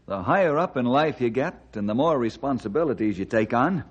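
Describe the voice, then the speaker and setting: A man's voice: mid-range voice, animated, fairly quick, breathy edge. A man playing a friendly, patient forest ranger in an old-time radio drama, with a folksy, conciliatory manner. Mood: thoughtful, friendly. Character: friendly, calm, well-meaning male forest ranger. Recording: muffled vintage radio recording, faint noise.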